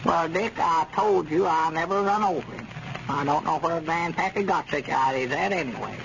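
High, creaky country storekeeper. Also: male voice, normal speed, a bit bright. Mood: folksy, self-justifying. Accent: rural Southern US. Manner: folksy, drawling, dialect grammar. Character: bossy, folksy older country storekeeper, male. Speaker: radio comedy actor. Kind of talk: 1930s radio comedy acting. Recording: scratchy, muffled 1930s radio recording.

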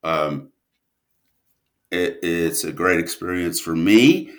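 A man talking casually as an interview guest, a writer who trails off and restarts his thoughts in an animated way. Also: low-pitched, takes it slowly.

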